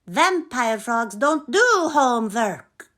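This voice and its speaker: A woman's voice: a little high, big pitch swings, slow, fairly bright tone, voice pushed up, heavily acted. A woman voicing a cheeky, eager young boy frog in a theatrical, exclamatory storybook style. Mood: boastful, excited. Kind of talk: animated children's storybook character voice.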